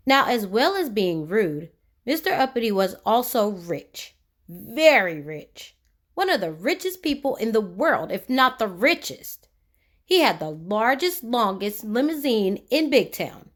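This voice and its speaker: A woman reading a children's story aloud expressively, with dramatic emphasis and drawn-out key words. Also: fairly high pitch, big pitch swings.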